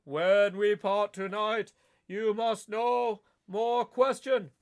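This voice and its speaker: A man reading aloud, voicing an elderly scholarly professor who speaks in a measured, solemn way. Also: high voice, steady tone, smooth. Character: elderly scholarly professor leading vampire hunters.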